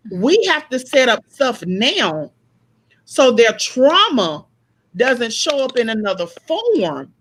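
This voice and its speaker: Loud, animated woman. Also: a little high, a little hiss or hum, low-quality recording. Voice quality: loud speaking voice. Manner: animated storyteller, acts out quoted exchanges. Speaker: panelist in a group discussion. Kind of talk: casual group discussion.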